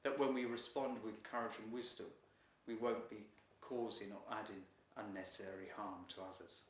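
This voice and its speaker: A man reading a children's story in an expressive, deliberate narrating style. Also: lowish voice, slight background noise. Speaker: author reading own story. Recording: roomy echo, distant mic.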